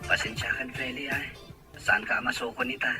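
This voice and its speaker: A man acting an earnest, gentle young man in love, with an Ilocano accent, in a radio drama. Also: medium pitch, steady tone, moderate pace, over music, lightly acted. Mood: apologetic. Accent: Filipino, Ilocano. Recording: faint background noise.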